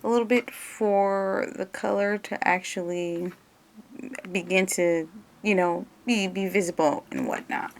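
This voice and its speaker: A woman with a creaky voice doing an ASMR roleplay in her normal speaking voice, casual and hesitant as she talks through each step. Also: mid-range voice, steady tone, measured pace. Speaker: ASMR creator playing an examiner.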